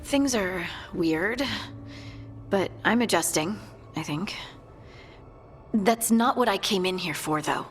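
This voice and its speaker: An actress playing a friendly, earnest young scout in a video game, speaking informally and a little hesitantly. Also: female voice, mid-range voice, expressive, slow, long pauses. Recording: long reverb, faint music. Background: faint music.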